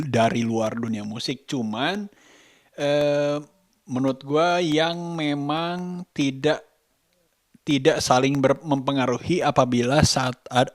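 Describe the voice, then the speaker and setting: A man talking casually in an unscripted vlog, halting often as he searches for words. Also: mid-range voice, no echo, clean studio sound. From Indonesia. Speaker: vlogger and musician.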